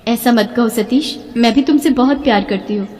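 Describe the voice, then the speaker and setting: Woman as a headstrong young woman, high voice. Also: moderate pace, darkish tone, big-room sound, natural voice. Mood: earnest. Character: headstrong pampered young woman in love, defiant. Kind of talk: melodramatic animated story acting.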